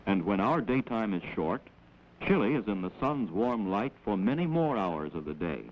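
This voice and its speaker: Animated low-voiced 1940s American film narrator, male. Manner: measured, explanatory, instructive. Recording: dull, noisy old 16mm film soundtrack.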